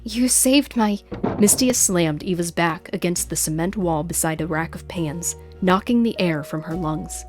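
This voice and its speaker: A woman's voice: lowish voice, moderate pace, few pauses, over music. A woman hosting her own show, moving between scripted story narration and chatty talk as an author. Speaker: host and author.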